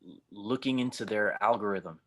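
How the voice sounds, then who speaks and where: A man's voice: fairly low pitch, normal speed, a bit bright. A man playing an angry, terse cyborg fighter in an audio drama table read. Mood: tense, doubtful. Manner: terse, bitter.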